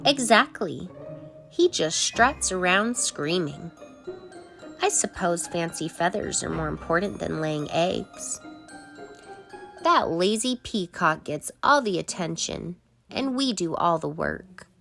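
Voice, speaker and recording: A woman's voice: lowish voice, lively intonation, takes it slowly, gravelly, a bit bright, faint music behind, full character voice. A woman voicing vain, gossipy storybook hens in a theatrical, emphatic manner. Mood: indignant grumbling. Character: vain, indignant, gossipy female farm hens.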